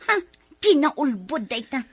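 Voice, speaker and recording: A woman's voice: high-pitched, very animated, unhurried, no echo. A woman with an Ilocano accent playing a brash, defiant wife in a radio drama, emphatic and melodramatic. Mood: alarmed, protesting. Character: brash, defiant wife pleading innocence to her husband.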